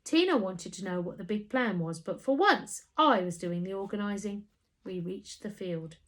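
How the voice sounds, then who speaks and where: Animated woman reading a children's story. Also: medium pitch, normal speed. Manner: animated, dramatic emphasis. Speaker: storybook reader. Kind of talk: expressive scripted children's story narration.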